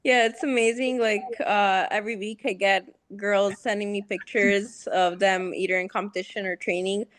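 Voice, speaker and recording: A young woman, a guest on a video call, answering questions softly and laughing often. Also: mid-range voice, steady tone, moderate pace, few pauses. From United States. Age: young adult. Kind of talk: casual interview over video call.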